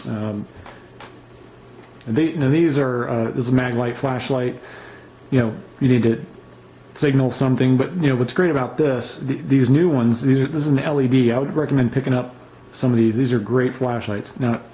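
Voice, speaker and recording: A man's voice: mid-range voice, moderate pace, warm tone, a little hiss or hum, rough recording. A man casually showing and reviewing his emergency gear, unscripted, with many fillers and restarts. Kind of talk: casual show-and-tell review.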